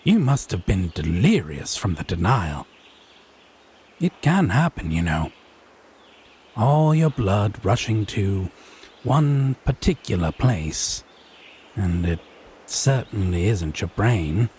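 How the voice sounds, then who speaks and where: Guy doing a snooty fae man for audio roleplay, unhurried and teasing, super expressive pitch, bit of background noise. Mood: smug, scolding. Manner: arch, pompous, drawling with theatrical emphasis. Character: haughty, teasing male Fae, theatrically prim and domineering. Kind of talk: scripted audio roleplay drama.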